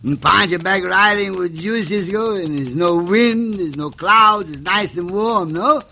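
An actor playing a good-natured, childlike Mexican cowboy sidekick in an old radio western, rambling in broken English and calling himself by name. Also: male voice, sits high, unhurried, creaky, sharp tone, heavily acted. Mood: cheerful, chatty. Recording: noisy old radio show recording.

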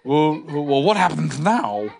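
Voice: mid-range voice, big pitch swings, slow, vocal fry, background noise, heavily acted. A parent reading a bedtime story aloud, voicing a bouncy, self-important young tiger. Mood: boastful.

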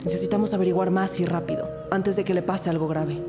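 A woman's voice: on the low side, fairly even intonation, quick pace, over loud music, lightly acted. An actress playing a loyal, resolute female police detective in an audio drama, earnest and protective. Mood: serious. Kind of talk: audio fiction acting and scripted narration.

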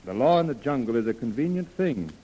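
Proper earnest major in old radio serial, medium pitch, persuasive and articulate. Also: male voice, big pitch swings, fast talker, warm tone, slightly rough, rough recording. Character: earnest, persuasive gentleman major on jungle expedition. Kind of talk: old-time radio adventure acting.

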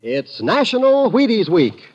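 A man's voice: high-pitched, wildly swooping pitch, measured pace, breathy edge, muffled recording. An American man announcing a show in a dramatic, proclaiming 1950s radio style. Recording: old radio broadcast with loud music.

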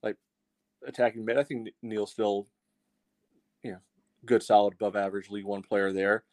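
A man co-hosting a soccer fan show, giving casual analysis and measured, qualified opinions. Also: on the low side, steady tone, a little mellow, no echo.

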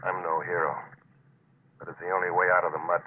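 An actor playing a stubborn, grimly determined deep-sea diver in an old-time radio suspense drama, speaking and narrating in a terse, intense, hard-boiled way. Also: male voice, level tone, fast, breathy edge. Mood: grimly resolved. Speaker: lead actor and first-person narrator. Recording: noisy, tinny old radio recording.

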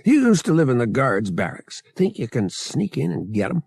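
A man's voice: medium pitch, very animated, normal speed, gravelly, darkish tone, slightly rough, no echo, clean studio sound, full character voice. A man voicing a casual, practical young smuggler pilot in an audiobook, slangy and wry, dropping word endings. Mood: calming, brisk. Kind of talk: audiobook character voice.

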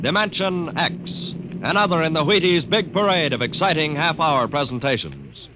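A man announcing a 1950s radio show in a grand, drawn-out style. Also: on the high side, sing-song. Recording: noisy vintage radio recording.

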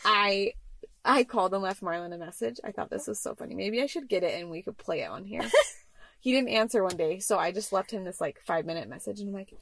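A woman in her thirties with a deep, raspy voice, co-hosting a casual podcast from a parked minivan, giggly and joking. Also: expressive, fairly bright tone. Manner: giggly, joking, quick asides. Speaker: podcast co-host. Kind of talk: casual chat.

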